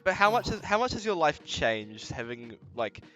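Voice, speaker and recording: A man's voice: fairly high pitch, very animated, moderate pace, sharp tone, lo-fi sound, soft background music. A young man hosting a casual podcast chat, asking questions and joking with his friends.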